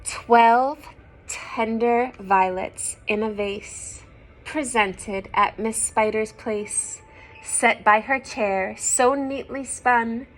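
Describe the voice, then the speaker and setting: A woman reading a picture book aloud to young children, expressive and gentle, often dropping into hushed asides to her listeners. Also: fairly high pitch, drawn-out words, poor audio. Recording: some background noise.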